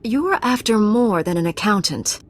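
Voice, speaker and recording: A woman's voice: medium pitch, lively intonation, moderate pace, close to an everyday voice. A woman playing a composed, resolute Jedi knight in a video game, speaking directly and firmly. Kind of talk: video game dialogue acting.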